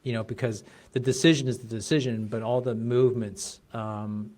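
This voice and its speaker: A Canadian man in his forties, a guest on a podcast, telling his story in casual chat with many restarts and quick laughs. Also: medium pitch, steady tone, a little mellow. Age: 40s. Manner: fast, rambling, restarts and laughs. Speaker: podcast guest, chiropractic coach.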